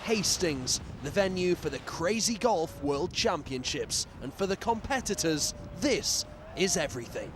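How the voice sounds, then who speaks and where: Man, British, sports news reporter, high voice, scripted narration, noisy outdoor background. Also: lively intonation, unhurried.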